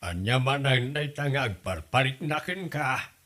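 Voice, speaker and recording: A man's voice: medium pitch, lively intonation, measured pace, sharp tone. A man playing a placid older father in an audio comedy drama, speaking with an Ilocano Filipino accent. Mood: placating. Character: placid older father, excusing his son.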